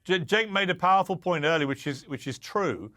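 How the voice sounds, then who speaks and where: A man moderating a televised debate, measured as he sums up and wraps the segment. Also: high-pitched, animated, bright, forceful tone, no room sound, clean studio sound.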